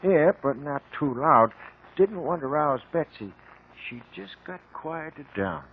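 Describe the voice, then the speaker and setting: A man's voice: a little high, animated, slow pace, husky, exaggerated voice. An actor playing a plain-spoken rural delivery man in a 1950s radio drama, folksy and terse with rustic grammar. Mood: laconic, matter-of-fact. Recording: thin lo-fi 1950 radio broadcast.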